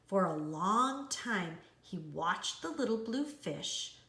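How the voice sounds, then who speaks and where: Slow, very expressive woman storyteller. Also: mid-range voice. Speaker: storybook reader. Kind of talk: expressive scripted children's storytelling. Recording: roomy, echoey indoor sound.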